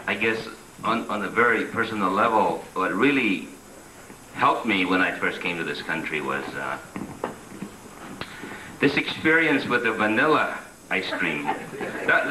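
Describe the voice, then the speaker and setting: A middle-aged man from the Philippines tells his story slowly, pausing to reflect. His voice is very bright and sharp. He is recorded from a distance in a roomy hall with faint ambience. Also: on the low side, slight background noise. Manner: slow and reflective. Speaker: symposium panelist. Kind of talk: personal storytelling. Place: meeting room indoors. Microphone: handheld microphone.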